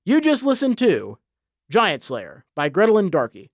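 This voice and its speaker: A man's voice: sits high, huge pitch swings, normal speed, dry recording, studio quality. A man reading a scripted story as a dramatic narrator. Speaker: narrator, reader. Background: story sound effects.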